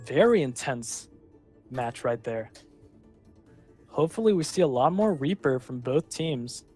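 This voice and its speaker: A man casting a live online match, calling the action and filling pauses with rambling, self-deprecating asides. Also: mid-range voice, animated, mellow, faint music behind. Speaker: esports caster. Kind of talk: live game commentary.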